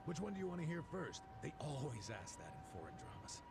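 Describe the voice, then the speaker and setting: An actor playing a gruff, wisecracking older detective type with a gravelly voice in a video game. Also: male voice, a little high, sing-song, brisk, a crowd in the background. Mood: teasing. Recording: loud game music behind.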